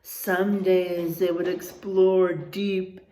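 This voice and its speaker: A woman teacher reading a children's storybook aloud in an expressive way, adding explanations and checking in with her young listeners. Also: on the low side, very slow. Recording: roomy, echoing room sound.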